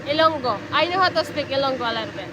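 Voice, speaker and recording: A woman's voice: sits high, lively intonation, rapid-fire, background noise. A Filipino woman whose first language is Bisaya, speaking English with a Filipino accent and giving short, hesitant answers in a casual chat. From Philippines. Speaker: host's partner.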